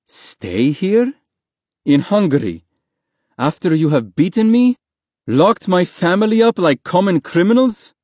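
A man's voice: sits high, sing-song, measured pace, darkish tone, no room sound, studio quality, voice pushed up, full character voice. A man voicing a proud, indignant refugee father in an audiobook story. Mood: outraged.

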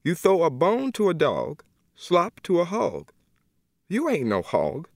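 Guy doing a sobbing old lady, high and slow, drags every word out. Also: huge pitch swings, creaky, no echo, clean studio sound, heavily acted. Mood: scolding, pleading. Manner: slow, drawn-out, tearful pleading. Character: grieving heavyset old woman, pleading and desperate.

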